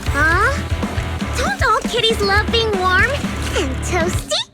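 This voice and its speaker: A woman's voice: extremely high pitch, big pitch swings, slow, full character voice. A woman voicing a hyperactive, bubbly, reckless young woman fighter in an English game dub, gushing and playful. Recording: loud game music behind.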